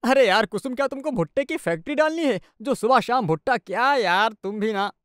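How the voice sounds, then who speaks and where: A man acts out an exasperated young husband in a cartoon story. His pitch moves around a lot as he grumbles sarcastically, and the sound is dry. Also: expressive, fairly quick, fairly bright tone, clear, resonant tone, no room sound. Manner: sarcastic, complaining. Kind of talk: animated moral story dialogue.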